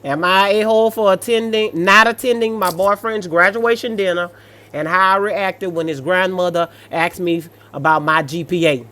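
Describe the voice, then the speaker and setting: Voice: mid-range voice, normal speed, bright, forceful tone. An American speaker with a sassy, blunt, colloquial manner, reading stories aloud and reacting with off-the-cuff commentary.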